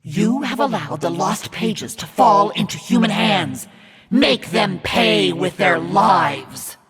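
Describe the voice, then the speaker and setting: A man voicing a menacing, scornful demonic narrator in a video game, commanding and mocking in a theatrical, raspy delivery. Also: very high-pitched, bright, forceful tone, exaggerated voice. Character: demonic evil narrator, menacing, scornful, imperious servant of darkness. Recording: long reverb over music.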